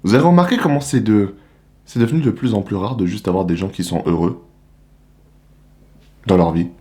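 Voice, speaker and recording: A young man from the Republic of the Congo giving a casual, animated, slangy monologue to the camera as a vlogger. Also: fairly low pitch, brisk. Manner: animated, slangy, rhetorical questions.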